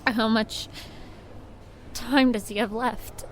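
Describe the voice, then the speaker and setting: Devoted young granddaughter in a radio play: highish voice, earnest, some background noise. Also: female voice, steady tone, slow. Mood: anxious, sad. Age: young adult. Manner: earnest, concerned. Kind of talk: audio drama acting.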